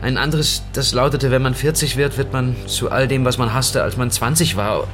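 An actor plays a young romantic man with a mid-pitched voice. He is polite and gentle, earnest in his devotion and a little hesitant. It is a radio drama scene with soft music behind. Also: steady tone, normal speed, close to an everyday voice. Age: young adult.

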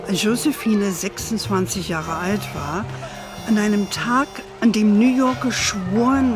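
A woman playing a fond older grandmother who tells stories at an unhurried pace in a children's radio play. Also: mid-range voice, natural voice. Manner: unhurried, fond, sprinkles English endearments.

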